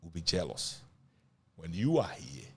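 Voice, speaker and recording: A man's voice: sits low, very animated, a little slow, breathy edge. A man hosting a casual discussion, reflective and leaning on filler phrases as he thinks aloud. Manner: reflective, leans on "you know", soft-spoken. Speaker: host.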